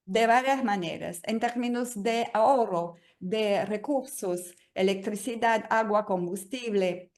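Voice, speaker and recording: Woman, native Portuguese speaker, slow and hesitant webinar presenter on a video call. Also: mid-range voice, a little mellow. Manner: hesitant, many fillers and restarts. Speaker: guest speaker.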